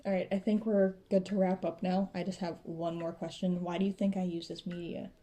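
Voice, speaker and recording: A woman's voice: lowish voice, flat delivery, fairly quick, dark tone. A teenage girl hosting a casual school podcast chat with her father, informal and giggly. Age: teens. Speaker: student host.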